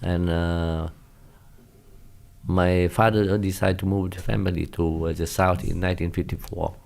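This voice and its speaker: A man in his seventies with a Vietnamese accent, a non-native speaker recalling his past in an interview, halting often with many hesitations and loose grammar. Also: sits low, level tone, vocal fry, darkish tone. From northern Vietnam. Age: 70s. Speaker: guest, former pilot. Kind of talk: casual interview recollection.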